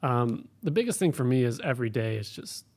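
A man's voice: mid-range voice, big pitch swings, brisk, a little mellow. A man who works as an air traffic controller, answering interview questions in a plain, practical, explanatory way.

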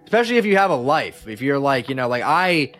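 A man's voice: medium pitch, big pitch swings, quick pace, a bit bright. Slangy, rambling man over background music. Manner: rambling, slangy, frequent false starts. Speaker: podcast guest, musician. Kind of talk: casual chat, telling stories about band life.

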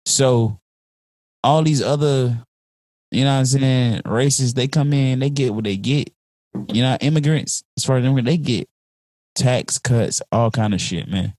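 Man, casual podcast talk; dark low voice, dry close sound, rambling and worked up. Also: a little slow, dry recording. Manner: heated, rambling, repeats "you know what I'm saying".

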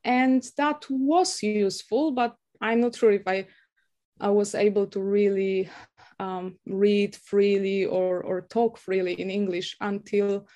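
Woman, young adult, from Poland, native Polish speaker, hesitant with many fillers, mid pitch. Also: fairly even intonation, slow pace, warm tone. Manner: hesitant, many fillers and restarts, laughs often. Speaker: guest, university lecturer. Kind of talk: casual interview conversation.

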